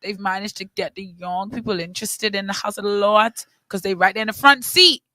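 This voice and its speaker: A woman's voice: mid-range voice, steady tone, fairly quick, pushed and bright, dry recording. A woman with a British accent co-hosting a radio talk show, chatting casually and reading listener comments aloud with a wry edge. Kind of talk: casual chat. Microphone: studio microphone.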